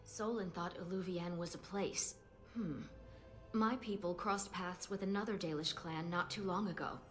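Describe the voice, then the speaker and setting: A woman's voice: lowish voice, normal speed, faint music behind, close to an everyday voice. A woman playing a young Dalish elf hunter in a video game, playful and direct as well as earnest. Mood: somber.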